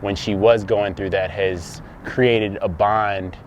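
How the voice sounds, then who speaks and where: A man's voice: lowish voice, fairly even intonation, slow pace, slight background noise. A man of thirty from Texas, a guest talking reflectively in a casual conversation, often restarting his sentences. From Dallas, Texas. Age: 30s.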